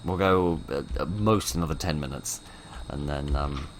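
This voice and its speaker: Hoarse low-voiced man playing a game. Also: moderate pace, a little hiss or hum. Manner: chatty, wry, exasperated outbursts at the game. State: getting hoarse. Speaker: gaming commentator. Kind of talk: let's play commentary.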